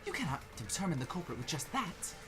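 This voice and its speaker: A woman's voice: low voice, sing-song, fast talker. A woman taking part in a group fan dub of a video game, mixing casual banter with read dialogue. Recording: music playing behind the voice. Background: game music.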